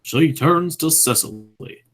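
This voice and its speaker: A man's voice: a little high, lively intonation, takes it slowly. A man narrating a radio play, reading short stage directions as scripted narration.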